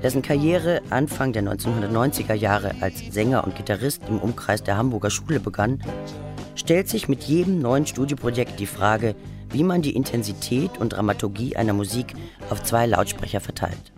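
A man's voice: on the low side, lively intonation, moderate pace, over music. A man reading scripted documentary narration for a radio feature in a studio.

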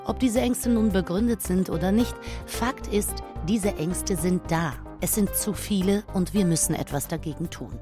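Female radio interviewer, formal and politely probing, slightly low pitch, music underneath, played by an actress. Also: lightly acted. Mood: earnest, formal. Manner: measured, newsreader-like, politely probing. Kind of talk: radio talk show parody in drama.

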